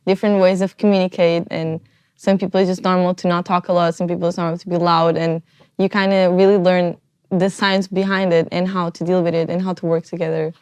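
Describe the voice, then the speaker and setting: Flat-voiced young woman from Portugal. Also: on the low side, a little fast, clear, resonant tone, dry recording. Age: young adult. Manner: relaxed, chatty, uses many fillers like "like". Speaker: student guest. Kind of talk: casual chat.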